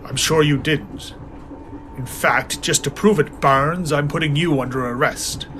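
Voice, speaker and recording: A man's voice: fairly high pitch, a little slow, soft background music. A man voicing a cool-headed male police inspector who solves a killing aboard a train. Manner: calm, matter-of-fact.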